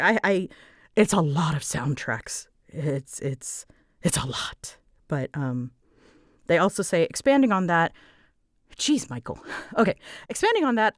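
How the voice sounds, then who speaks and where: Lower-voiced woman, scattered and giggly. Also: no room sound, clean studio sound. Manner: scattered, rambling, jumps between thoughts; nervous, giggly, self-interrupting. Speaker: audio creator and voice actor answering listener questions. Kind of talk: unscripted casual Q&A chat.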